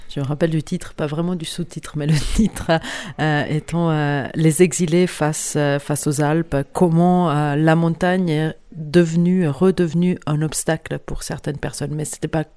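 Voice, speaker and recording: Swiss-woman, halting guest talk. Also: sits low, steady tone, warm tone. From Italian-speaking Switzerland. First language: Italian. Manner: halting, many fillers and restarts, laughs often. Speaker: guest lecturer. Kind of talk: hesitant conversational interview.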